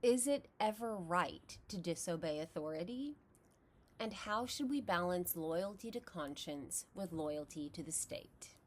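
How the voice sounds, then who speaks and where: A woman's voice: fairly low pitch, animated, a little slow. Woman with a Texas accent explaining philosophy. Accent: Texan. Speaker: philosophy lecturer. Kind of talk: scripted educational narration.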